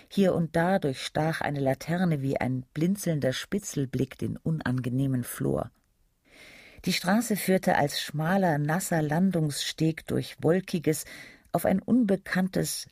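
Male narrator, literary radio drama, gravelly, slightly high-pitched, serious, deliberate. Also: a little mellow, no room sound, studio quality.

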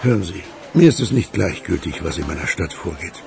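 A deep, gravelly ageing magnate. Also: male voice, expressive, a little mellow. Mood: courteous, persuasive. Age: older. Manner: courteous, measured, formal. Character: suave ageing provincial magnate, ex-crook turned capitalist, calmly persuasive. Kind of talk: German radio crime drama acting.

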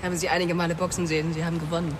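A woman playing a sharp-tongued, self-possessed young girlfriend with a dry, articulate, sardonic delivery in a radio play. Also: lowish voice, level tone, very fast, lightly acted. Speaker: actor in a radio drama. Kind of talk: German radio play dialogue. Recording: reverberant, with outdoor traffic noise.

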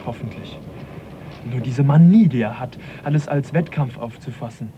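Radio drama naturalist, male actor: young, polite, inquiring, mid-pitched warm voice, faint noise underneath. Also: normal speed, lo-fi sound, natural voice. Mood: troubled, reflective. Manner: polite, questioning, apologetic but persistent. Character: earnest, courteous young gentleman naturalist at sea.

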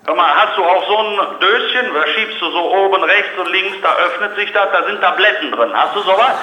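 A man's voice: high-pitched, expressive, brisk, bright, forceful tone. A man talking brusquely and impatiently on a phone call. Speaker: roofer receiving a prank call. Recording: echoey phone call played back.

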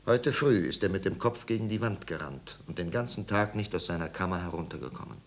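A man's voice: low-pitched, fairly quick, natural voice. A man narrating a literary tragedy in a 1950s radio play, grave and intense, with careful articulation. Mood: somber.

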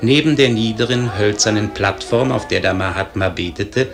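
A man playing a grave storyteller, narrating a literary radio play in measured, formal diction. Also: on the low side. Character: grave male storyteller narrating a historic tragedy.

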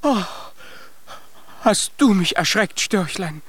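A tiny, plucky young giant in a children's radio play. The voice sits high and swings widely in pitch, taking its time over the words. He is eager, boastful and earnest, often exclaiming. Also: lively intonation, slow, loud music behind the voice. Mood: surprised, curious.

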